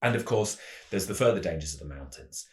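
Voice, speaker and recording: A man with a notably deep voice presents a fantasy roleplaying scenario like a game master advising others. His phrasing is measured and dramatic, in the manner of a storyteller. The recording is roomy, with clear echo around the voice. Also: lively intonation. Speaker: host, game master giving adventure advice.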